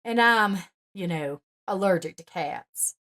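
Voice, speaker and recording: A woman's voice: mid-range voice, animated, slow, fairly bright tone. A woman doing a sly, critical mother-in-law. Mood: guilty.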